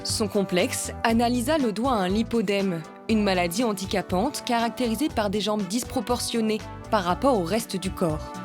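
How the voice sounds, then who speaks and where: Woman narrating a documentary, steady scripted read, music under it. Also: medium pitch. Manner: even, measured reading.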